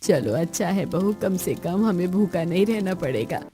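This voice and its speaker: A woman's voice: lowish voice, expressive, moderate pace, warm tone, soft background music, voice pushed down. A woman voicing a homely older mother-in-law in a cartoon story. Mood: relieved, approving.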